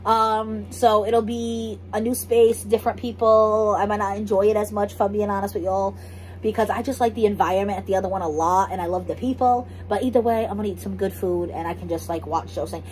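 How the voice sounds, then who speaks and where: A woman's voice: mid-range voice, steady tone, fairly quick, a little mellow, slight background noise, rough recording. Chatty young woman vlogging. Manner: chatty, lots of "like" and restarts. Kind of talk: casual vlog chat. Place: home kitchen.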